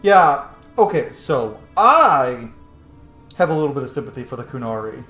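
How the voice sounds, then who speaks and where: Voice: medium pitch, sing-song, moderate pace, a bit bright. A game streamer giving casual let's-play commentary, musing aloud and swearing now and then. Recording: roomy echo, faint game music behind. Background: game music.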